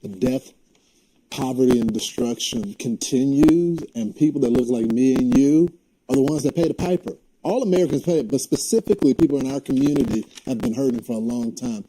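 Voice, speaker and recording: A man's voice: medium pitch, fairly quick, mellow, a little hiss or hum, rough recording. A man interviewing a guest, pressing her with pointed questions. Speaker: interviewer, reporter.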